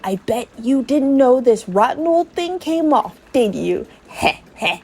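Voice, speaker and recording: A woman's voice: high voice, big pitch swings, a little slow, a little mellow, husky, full character voice. A woman voicing a fussy elderly male professor in a video game, with hesitant, old-fashioned, teasing phrasing. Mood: eager, teasing.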